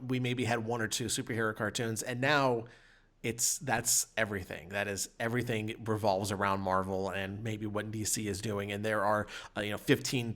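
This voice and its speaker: A man in his thirties co-hosting a podcast, talking casually and giving opinions in a halting, conversational way. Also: on the low side. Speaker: podcast co-host and games journalist.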